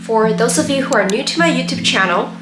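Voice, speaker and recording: A woman whose first language is Mandarin Chinese, hosting her own channel and giving earnest, steady tips in scripted tutorial narration. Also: fairly high pitch, fast talker. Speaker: YouTube host. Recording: roomy echo with loud background music.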